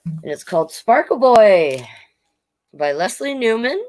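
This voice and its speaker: A woman's voice: a little high, sing-song, unhurried, a little mellow. A woman storyteller reading a children's book aloud, with informal, playful asides. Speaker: drag king storyteller reading children's books.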